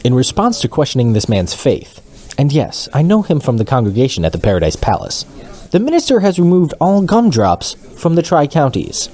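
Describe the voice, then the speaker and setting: A man's voice: mid-range voice, wildly swooping pitch, moderate pace, mellow. Man as a swooping, smug male news anchor. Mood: self-righteous. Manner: condescending, mock-earnest newsreading. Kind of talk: satirical news broadcast.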